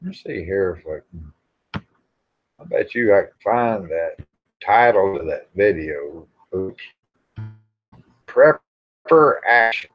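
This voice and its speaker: Middle-aged man, very slow folksy rambling, mid pitch, casual livestream chat, muffled lo-fi sound. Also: animated, slightly rough, faint music behind. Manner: folksy, rambling, salty-tongued. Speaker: livestream host. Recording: dull lo-fi livestream audio.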